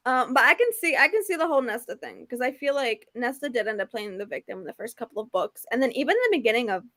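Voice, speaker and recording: A woman with a high, bright voice co-hosts a relaxed book chat over a video call. Her sound is dry, with little room in it, and she speaks in an animated way, repeating phrases for emphasis. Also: fast talker, no echo. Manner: addresses viewers as "y'all". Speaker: book discussion co-host. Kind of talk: casual chat. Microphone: livestream video call.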